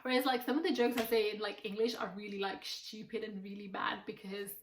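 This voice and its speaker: A woman's voice: medium pitch, fairly even intonation, brisk, slight background noise. A young woman whose first language is Urdu, chatting casually and rambling with lots of fillers as she reviews a series. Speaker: video blogger reviewing a series. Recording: echoey room.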